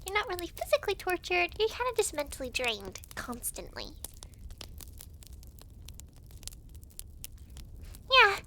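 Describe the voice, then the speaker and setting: A young devil girl who works as hell's clerk, acted by a woman in an ASMR roleplay. Her voice is extremely high and lively in pitch, with a breezy, teasing, giggly manner. There is a faint noise behind her. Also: full character voice. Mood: matter-of-fact, teasing. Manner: giggly, breezy, teasing, halting when flustered. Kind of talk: ASMR roleplay, talking to the listener.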